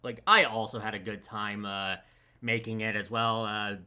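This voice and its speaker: A man's voice: fairly low pitch, flat delivery, normal speed, pushed and bright, clear, resonant tone. A man chatting casually about his creative work, rambling and often restarting his sentences. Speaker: audio drama voice actor and editor. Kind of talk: casual chat.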